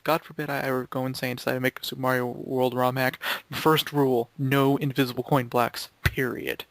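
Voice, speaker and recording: A man's voice: mid-range voice, little pitch movement, fairly quick, dry recording. A man chatting casually and jokingly about video games with friends, swearing freely and slipping into mock quotes. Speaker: gaming video maker in a group.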